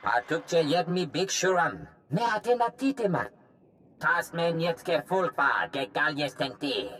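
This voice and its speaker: An actor voicing an alien who talks animatedly in an invented tongue for a video game. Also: male voice, on the high side, sing-song, measured pace, a bit bright, slight background noise.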